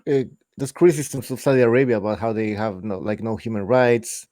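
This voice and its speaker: A man co-hosting a casual podcast talks hesitantly, full of "uh" and "like". He has a lowish voice and sounds dry and close to the mic. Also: a little fast, darkish tone, no echo. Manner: hesitant, lots of fillers. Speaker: podcast co-host. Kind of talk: casual talk show chat.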